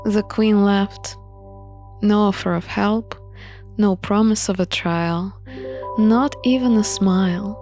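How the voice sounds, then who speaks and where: A woman's voice: mid-range voice, steady tone, slow, a little mellow, soft background music. A woman reading scripted first-person story narration for a visual novel playthrough.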